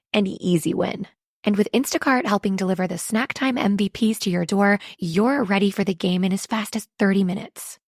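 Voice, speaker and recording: A woman's voice: medium pitch, no room sound. Dry-sounding woman reading an ad. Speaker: advert voice-over. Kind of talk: scripted commercial read.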